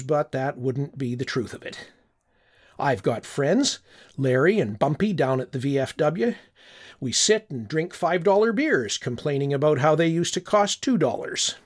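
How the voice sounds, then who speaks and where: An older man narrating a short story in the first person, reflective and wistful. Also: on the high side. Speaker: audiobook narrator.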